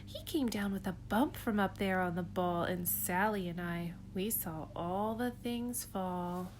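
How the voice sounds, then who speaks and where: A woman preschool teacher reading a picture book aloud at home in an expressive, sing-song storytelling way. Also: fairly low pitch, unhurried, slight background noise.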